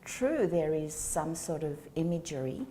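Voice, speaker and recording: Slow, measured woman in her sixties. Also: sits low, animated, warm tone, slight background noise. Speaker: guest, educator. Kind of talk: interview answers. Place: small studio set with plants. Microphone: lapel mic.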